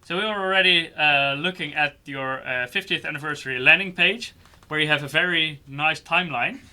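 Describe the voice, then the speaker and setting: A man with a fairly high, very bright and sharp voice hosts a casual livestream. He interviews in a friendly, chatty way. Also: brisk, clean tone. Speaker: livestream host.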